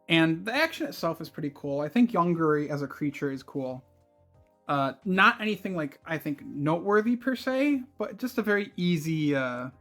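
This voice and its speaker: A man hosting his own channel, with a scratchy, strained voice, talking in a relaxed and chatty way about things he collects. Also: on the high side. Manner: relaxed and chatty, with filler words and light laughs. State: scratchy voice from concert screaming. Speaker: channel host. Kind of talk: casual video review chat.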